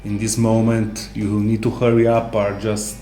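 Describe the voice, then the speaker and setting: A man co-hosting a casual sports discussion, speaking haltingly with non-native English phrasing. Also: lowish voice, monotone, warm tone. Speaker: co-host. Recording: roomy echo, music underneath.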